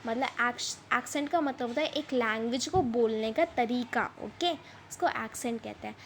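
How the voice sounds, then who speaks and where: A woman with a high-pitched voice teaches an English chapter. She reads the English text slowly and then explains it at length in Hindi, repeating herself. Faint noise sits behind her voice.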